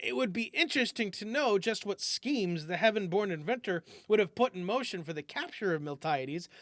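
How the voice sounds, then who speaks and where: Male storyteller, expressive read-aloud, high voice, tight and dry sound. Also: dry recording. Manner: lively, raises pitch and volume for shouted character lines.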